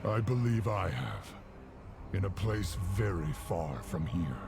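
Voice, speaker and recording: An actor voicing a gruff, noble orc warrior in a video game, speaking in a grave, terse, proud manner. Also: male voice, low voice, expressive, a little slow, heavily acted. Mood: somber. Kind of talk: video game cinematic acting. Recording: noisy game audio with ambience behind.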